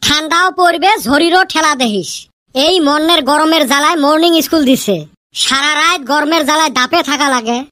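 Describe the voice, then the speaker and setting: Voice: high voice, a little fast, bright, forceful tone, no echo, studio quality. A performer voicing a mischievous village kid in a comedy cartoon, complaining and exclaiming with a northern Bengali accent. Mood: grumbling, exasperated. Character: mischievous village kid grumbling about the heat.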